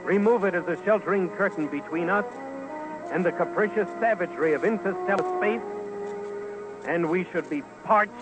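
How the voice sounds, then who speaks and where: A man with a somewhat high voice narrates an old-time radio documentary over loud music. He speaks deliberately and solemnly, giving the poetic script a portentous, dramatic weight. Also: rough recording. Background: loud background music.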